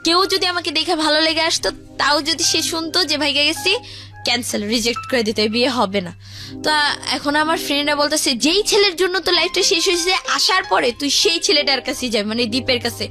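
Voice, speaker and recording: A woman's voice: sits high, brisk, sharp tone, faint music behind. A young woman with a Bangladeshi accent telling her life story in a colloquial, conversational way, often voicing what others said. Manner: colloquial, acts out quoted speech.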